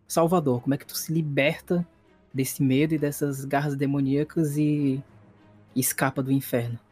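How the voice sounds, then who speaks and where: A man's voice: a little high, steady tone, moderate pace, darkish tone, husky, faint music behind. A man with a Brazilian accent running a tabletop horror game, moving between dramatic narration and casual refereeing. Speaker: game master.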